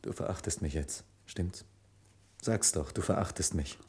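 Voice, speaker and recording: An actor playing a desperate, guilt-ridden man hiding a crime in a vintage radio crime play, with a husky, weary delivery. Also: low-pitched, unhurried, a little mellow. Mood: resigned.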